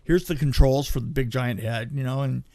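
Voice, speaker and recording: A man telling anecdotes in a casual, halting, animated way on a podcast, as an experienced film crew storyteller. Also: medium pitch.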